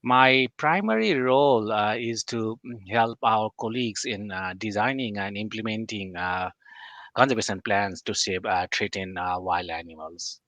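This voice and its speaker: A man in his forties from Nepal, a guest expert who speaks in a measured, hesitant way with frequent pauses and fillers. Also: on the low side, a bit bright, no room sound. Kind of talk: interview answers.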